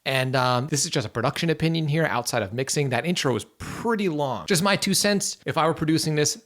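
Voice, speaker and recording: A man's voice: a little high, fairly quick, fairly bright tone. A man reviewing subscriber mixes, giving frank but encouraging feedback between listening to the tracks. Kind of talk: casual critique while listening to tracks.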